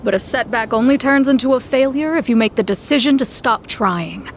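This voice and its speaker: A woman's voice: fairly high pitch, a little fast, a little hiss or hum, natural voice. A woman playing a composed, frank young duelist in an anime game, with a polite and earnest manner.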